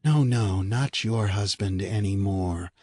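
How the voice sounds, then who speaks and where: A man doing a cold, hushed inner spirit. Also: on the low side, slightly rough, no echo, heavily acted. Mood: menacing. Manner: slow, hushed, menacing.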